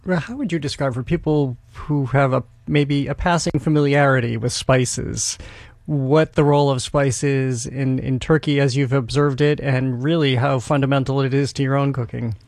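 A man hosting an interview, introducing his guest and asking measured, articulate questions. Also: mid-range voice, steady tone, a little mellow, dry recording. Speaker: interviewer and host.

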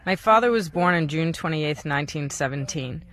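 Quiet, measured woman narrator. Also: low-pitched, lively intonation. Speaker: journalist narrating a documentary about her father. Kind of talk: scripted documentary narration.

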